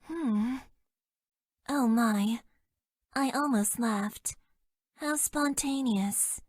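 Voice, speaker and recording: Deadpan, slow-spoken young mecha pilot girl. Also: on the high side, no room sound, studio quality. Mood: shy, tender. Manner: deadpan, stilted formal phrasing, hesitant. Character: detached, self-deprecating young female mecha pilot, shyly affectionate. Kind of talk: video game character voice lines.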